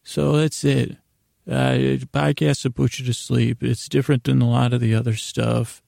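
A man hosting a bedtime podcast in a meandering, halting monologue with a gravelly voice, often interrupting himself. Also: mid-range voice, level tone, warm tone, dry recording, studio quality. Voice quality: gravelly, creaky. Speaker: podcast host. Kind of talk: rambling bedtime monologue to help listeners sleep.

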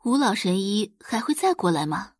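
A woman's voice: medium pitch, sing-song, moderate pace, husky, no room sound, studio quality. A woman voicing a doting but haughty middle-aged mother in an audio drama. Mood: relieved. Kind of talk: Chinese audio drama acting.

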